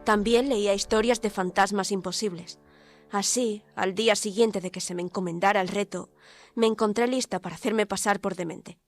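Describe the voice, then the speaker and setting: A woman's voice: medium pitch, steady tone, normal speed, natural voice. An actress playing a defiant, articulate young woman reporter held in an asylum, in a dramatic audio drama. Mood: grave, recounting. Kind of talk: audio drama narration and dialogue. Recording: music under the voice.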